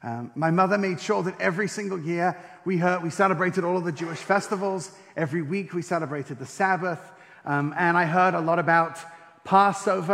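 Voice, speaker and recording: A man's voice: on the high side, fairly bright tone. Wry English man in a reverberant hall. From England and France. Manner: wry, self-deprecating humor, frequent ums. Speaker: speaker giving a testimony. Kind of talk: personal faith testimony to an audience.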